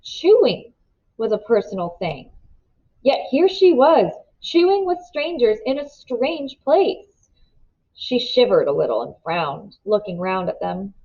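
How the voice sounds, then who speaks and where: A woman reading a children's novel aloud in an expressive storytelling manner. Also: fairly high pitch, breathy edge, muffled recording. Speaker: reader.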